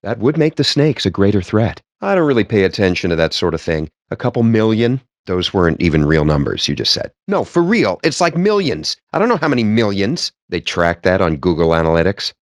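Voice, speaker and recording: A man's voice: fairly low pitch, very animated, fairly quick, few pauses, dry recording, clean studio sound. A man voicing a wry, pompous, foul-mouthed gamer geek in video game dialogue, with a sardonic, wordy and theatrical delivery.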